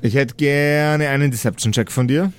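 A man's voice: medium pitch, moderate pace, clean tone. A man working as game master, narrating an improvised tabletop role-playing game.

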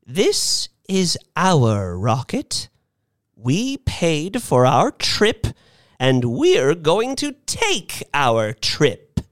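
A woman's voice: deep voice, wildly swooping pitch, extremely slow, no echo, clean studio sound, voice pushed up, heavily acted. A narrator voicing an indignant, bossy and proud old woman in her eighties, with an emphatic, declamatory delivery in a story reading. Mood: resolute, rallying.

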